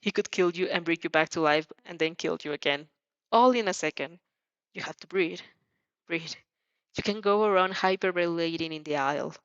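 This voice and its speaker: A woman narrating fan fiction in the second person, expressive and dramatic, now and then stumbling and rereading a line. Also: fairly low pitch, brisk, clear, resonant tone, no room sound. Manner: expressive, stumbles over words and rereads lines. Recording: dull, muffled lo-fi sound.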